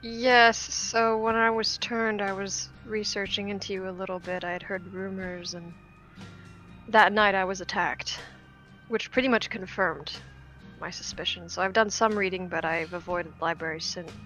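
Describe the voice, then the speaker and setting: A woman's voice: medium pitch, fairly even intonation, moderate pace, pushed and bright, soft background music, close to an everyday voice. A woman playing a guarded, bookish newly turned vampire in a tabletop roleplay, speaking tersely and plainly.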